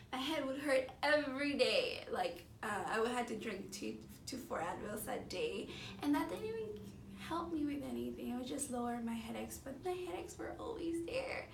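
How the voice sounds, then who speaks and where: Higher-voiced woman in her thirties, echoey recording. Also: gravelly. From born in Mexico City, grew up in the San Francisco Bay Area. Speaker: patient giving a testimonial. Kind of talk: personal testimonial, unscripted and conversational. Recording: echoey room, distant mic, faint noise.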